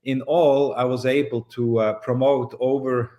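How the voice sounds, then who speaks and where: A middle-aged man from Switzerland, a boxing promoter being interviewed, speaking in a measured way with frequent pauses. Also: medium pitch, flat delivery, dark tone. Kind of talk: relaxed interview storytelling.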